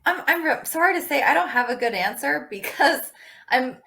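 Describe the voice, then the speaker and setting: Flustered woman, a little high-voiced. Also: expressive, normal speed, vocal fry, bright, forceful tone. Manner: flustered and self-deprecating, hesitant restarts, exclamations. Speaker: podcast guest, metal band member. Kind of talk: casual chat.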